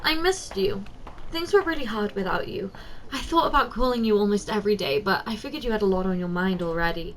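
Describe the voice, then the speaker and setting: A woman playing a giant, doting, teasing office secretary in an ASMR roleplay, speaking softly and coaxingly straight to the listener. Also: medium pitch, animated, fairly quick, a little hiss or hum, lightly acted. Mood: tender, teasing. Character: giant, doting, teasing female office secretary, tender toward tiny boss.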